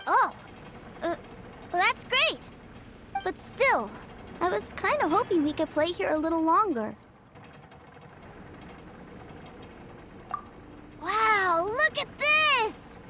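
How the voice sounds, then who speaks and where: A woman voicing a cheerful, sweet and eager little boy in a video game, who talks in an excited, childlike way. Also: very high voice, slow pace, background noise, heavily acted. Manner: excited, childlike, wide-eyed. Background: game music.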